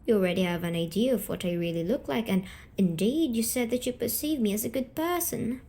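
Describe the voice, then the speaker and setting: A woman voicing a gentle, wounded female sleep paralysis demon in an ASMR roleplay, coaxing softly and deliberately with breathy half-whispers. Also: fairly high pitch, natural voice. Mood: hurt, reproachful. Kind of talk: slow ASMR storytelling roleplay.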